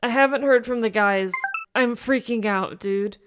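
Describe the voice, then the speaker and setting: A woman's voice: fairly high pitch, a little slow, music in the background, close to an everyday voice. A woman playing a frightened young gamer friend in an audio drama, speaking in casual, sweary slang. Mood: worried. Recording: clearly noisy recording with clicks.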